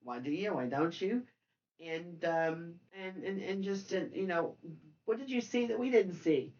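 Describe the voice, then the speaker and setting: A woman hosting a casual film discussion, speaking haltingly and often repeating and circling back over her words. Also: fairly low pitch, animated, a little mellow, lo-fi sound. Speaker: podcast host.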